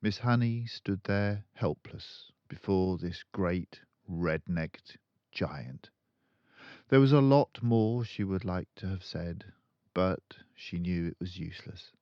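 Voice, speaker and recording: An older man reading a children's book aloud as an expressive storyteller. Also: low-pitched, slow pace, no echo, lo-fi sound. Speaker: storybook reader.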